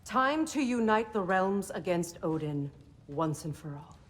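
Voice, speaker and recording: Low-voiced, resolute warrior goddess. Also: female voice, expressive, unhurried. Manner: firm, purposeful. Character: resolute, commanding female goddess and war leader. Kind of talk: dramatic video game acting. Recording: music under the voice.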